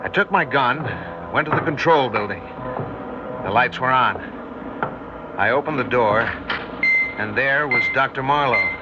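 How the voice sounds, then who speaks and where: An actor playing a shaken, bewildered man recounting a horror in tense, halting phrases, in a 1960s radio drama. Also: medium pitch, natural voice. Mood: grave suspense. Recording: muffled vintage radio broadcast, music behind.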